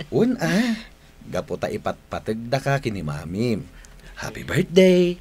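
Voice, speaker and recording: A man's voice: medium pitch, wildly swooping pitch, takes it slowly, a little mellow, slightly rough, exaggerated voice. A man acting a doting, playful father in an Ilocano radio drama, with a teasing, affectionate delivery. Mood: playful, fond.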